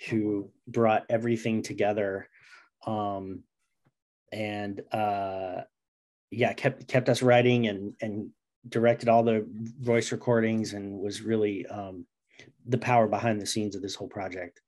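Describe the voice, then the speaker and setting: A man's voice: on the low side, flat delivery, unhurried, darkish tone. A man around his forties chatting casually and enthusiastically as an interview guest on a video call. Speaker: comic writer and interview guest. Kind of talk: casual chat.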